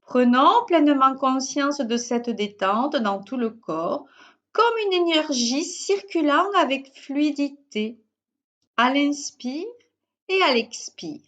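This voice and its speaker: A woman guiding a yoga nidra relaxation as a teacher, in short, measured instructional phrases with pauses. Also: high voice, lively intonation, fairly bright tone, muffled recording. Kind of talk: guided yoga nidra meditation.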